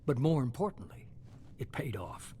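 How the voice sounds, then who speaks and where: An actor playing a shadowy, coolly self-justifying middle-aged leader in a video game, measured, authoritative and unapologetic. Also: male voice, medium pitch, big pitch swings, mellow, a little hiss or hum, close to an everyday voice. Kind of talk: dramatic video game dialogue.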